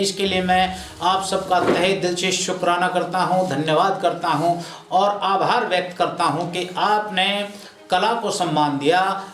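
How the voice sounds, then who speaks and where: A man's voice: high-pitched, fairly bright tone. A man hosting an interview, speaking in a courteous, effusive and flowery formal way. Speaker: interviewer and host. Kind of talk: warm formal introduction and interviewing. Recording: roomy echo, music underneath.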